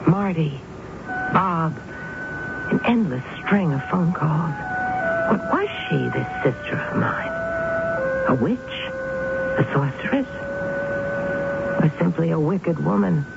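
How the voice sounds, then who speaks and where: A gravelly, brooding woman narrator. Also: fairly low pitch, wildly swooping pitch, takes it slowly, long pauses, exaggerated voice. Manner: intense, brooding. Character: tense, brooding middle-aged woman telling her dark tale. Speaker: narrator and lead character. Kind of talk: 1970s radio drama narration. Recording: tinny, hissy old radio broadcast.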